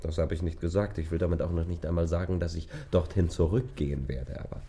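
Give the low voice of a bitter lover in a literary radio play. He speaks in polished, eloquent phrases with a sardonic edge and theatrical intensity, pushing intimacy away. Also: steady tone, fast, warm tone. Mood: cool, evasive. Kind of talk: German radio play acting, literary dialogue.

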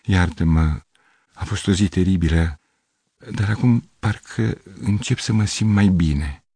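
An anxious, worn-out middle-aged musician from a storybook, voiced by a man. He pleads and stammers, his voice straining upward as he frets. The tone stays dark and gravelly, with a dry, close sound. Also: low voice, no room sound. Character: flustered tired middle-aged male musician.

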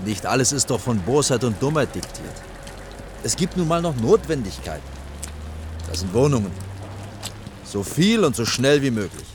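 An actor playing a pragmatic, middle-aged senior architect in a radio drama, admonishing and matter-of-fact. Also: male voice, mid-range voice, sing-song, normal speed, pauses often, close to an everyday voice. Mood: pragmatic, firm. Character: stern, pragmatic older male chief architect. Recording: 1980s radio play, outdoor background noise.

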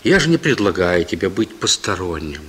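Stern but fatherly soviet police boss, forceful husky voice, old radio play. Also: low voice, sing-song, moderate pace, exaggerated voice. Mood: firm, admonishing. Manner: weighty, admonishing, repeats words. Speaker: actor. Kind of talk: Soviet radio drama acting.

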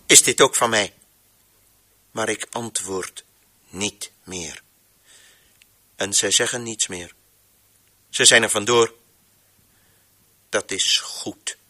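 An actor playing a stern, brooding master of voices in a radio play, commanding and deliberate, with theatrical pauses. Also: male voice, on the low side, sharp tone, heavily acted. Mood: brooding, defiant. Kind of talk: radio play monologue, declamatory.

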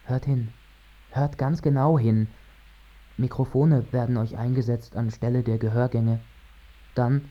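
A man's voice: mid-range voice, steady tone, unhurried, pauses often, dull audio, close to an everyday voice. An actor playing a man who muses like an astronaut on the moon, in a literary, declamatory radio monologue. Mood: hushed, insistent. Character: male narrator musing like an astronaut on the moon.

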